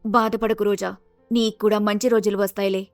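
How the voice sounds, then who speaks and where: A woman's voice: mid-range voice, little pitch movement, a little fast, no room sound, soft background music, close to an everyday voice. A woman voicing a concerned, earnest middle-aged widowed mother in a family moral story. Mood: consoling.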